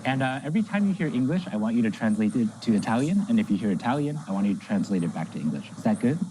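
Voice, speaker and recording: A man speaks fast with lively pitch movement and a mellow tone. He presents a live demo in a casual, conversational way. Slight noise is audible behind him. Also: poor audio. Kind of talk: casual live demonstration talk.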